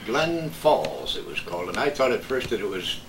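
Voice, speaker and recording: An older man telling casual radio stories in a halting way, searching for words. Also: on the low side, big pitch swings, crowd noise. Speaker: veteran radio broadcaster reminiscing as a guest. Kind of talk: casual storytelling. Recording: echoey room, distant mic, background noise.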